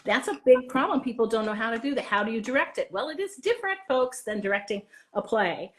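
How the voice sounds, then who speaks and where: A woman's voice: on the high side, lively intonation, quick pace, a bit bright, slight background noise. A woman talking casually as an interview guest, chatty and given to acting out bits of dialogue. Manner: chatty, acts out quoted dialogue. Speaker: interview guest, author and drama teacher. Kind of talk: animated casual interview talk.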